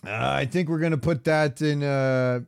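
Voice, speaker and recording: A man's voice: medium pitch, fairly even intonation, normal speed, gravelly, smooth, no room sound, clean studio sound. A man chatting casually and crudely as a podcast co-host, swearing freely. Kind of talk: casual banter about game sounds.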